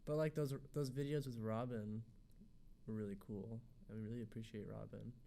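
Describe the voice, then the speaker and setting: A man's voice: lowish voice, fairly even intonation, moderate pace, vocal fry, dark tone. A twenty-two-year-old man, a band member, chiming in with short remarks during a radio studio interview. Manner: brief interjections, finishes others' sentences. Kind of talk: casual interview chat.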